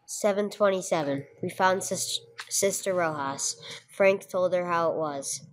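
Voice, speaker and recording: A woman's voice: on the low side, lightly acted. A woman playing a terse, sober police detective who narrates a case in an old-time radio drama style, on a noisy recording. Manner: matter-of-fact, clipped. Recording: clearly noisy amateur recording.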